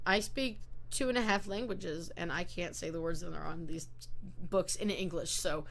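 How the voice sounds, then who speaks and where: Lowish-voiced woman host, loose and rambling, on a video call. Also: moderate pace, vocal fry, a little hiss or hum. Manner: chatty, rambling, many fillers and restarts. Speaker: book club host. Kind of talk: casual book discussion over a video call.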